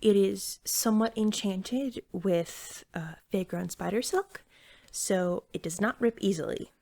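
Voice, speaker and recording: Breathy, creaky woman in soft ASMR roleplay. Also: mid-range voice. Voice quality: soft, breathy, with slight fry. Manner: gentle, unhurried, chatty shop-clerk patter. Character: eager, helpful young female pet store cashier.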